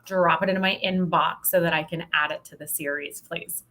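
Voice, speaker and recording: A woman's voice: on the low side, steady tone, a little fast, bright, forceful tone. An American woman chatting as an interview guest, candid and playful, with emphatic phrasing. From Montana, United States. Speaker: podcast guest, sales professional. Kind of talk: casual interview chat.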